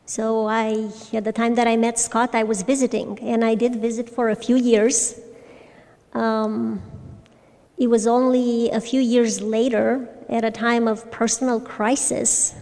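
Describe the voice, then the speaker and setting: Woman speaking slowly in a hall. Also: fairly high pitch, darkish tone. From Romania. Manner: hesitant, with frequent pauses and restarts. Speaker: speaker at a recovery fellowship meeting. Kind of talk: personal testimony talk. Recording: long reverb from a large hall.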